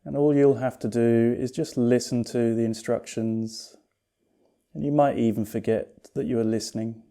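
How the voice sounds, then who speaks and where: A man leading a guided yoga meditation as a teacher, calm and unhurried, speaking in a soothing, gently reassuring way. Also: medium pitch.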